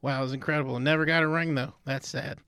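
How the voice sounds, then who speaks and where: A man's voice: medium pitch, fairly even intonation, fast, background noise. A man bantering as a comedy podcast contestant, argumentative and mock-indignant, swearing freely. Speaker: comedy game show contestant.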